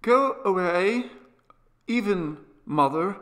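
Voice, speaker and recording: A man's voice: high-pitched, animated, slow pace, a bit bright, voice pushed up, exaggerated voice. A man voicing a feverish, eager young boy who pleads urgently and insistently in an audiobook story. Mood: eager, agitated. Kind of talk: dramatised audiobook character reading.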